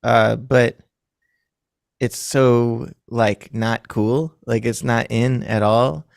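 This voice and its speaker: A man's voice: mid-range voice, steady tone, drawn-out words, vocal fry, dry recording, studio quality. A man in his thirties, a musician chatting casually in a rambling, self-deprecating way and laughing often. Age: 30s. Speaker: musician guest. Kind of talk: casual chat.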